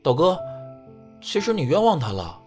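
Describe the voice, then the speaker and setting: A man's voice: a little high, huge pitch swings, normal speed, a little mellow, faint music behind. A man playing a cheeky, vain young idol group member with a playful, teasing manner in an audio drama. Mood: earnest.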